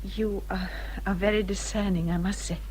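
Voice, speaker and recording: An actress playing an earnest, reserved young English gentlewoman in a period radio drama, speaking politely and hesitantly with an English accent. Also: medium pitch, fairly even intonation, moderate pace, a little hiss or hum, natural voice, poor audio. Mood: shy, earnest.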